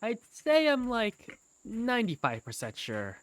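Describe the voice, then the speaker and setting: A woman's voice: a little high, huge pitch swings, unhurried, no echo, pitched above the performer's own voice. A woman voicing a sheepish, apologetic young student in a hesitant, awkward way for a fan dub of a visual novel game. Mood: awkward, defensive.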